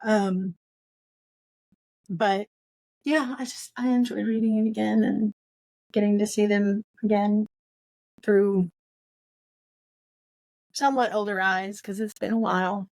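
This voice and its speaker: A woman's voice: mid-range voice, fairly even intonation, slow, pauses often, a little mellow, no room sound, low-quality recording. A middle-aged woman co-hosting a book podcast, chatting casually and repeating short phrases for emphasis. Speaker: podcast co-host.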